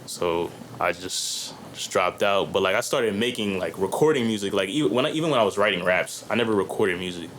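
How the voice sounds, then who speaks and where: A man's voice: deep voice, noisy background. A young man, a guest rapper on a podcast, talking in a loose, rambling way full of slang and fillers. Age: young adult. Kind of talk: casual chat.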